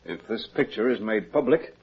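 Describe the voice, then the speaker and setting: Worried blackmailed gentleman in old radio drama. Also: fairly low pitch, expressive, moderate pace, husky. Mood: worried, earnest. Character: worried, well-off bridegroom being blackmailed. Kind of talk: 1950s radio drama acting. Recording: muffled 1950s radio recording.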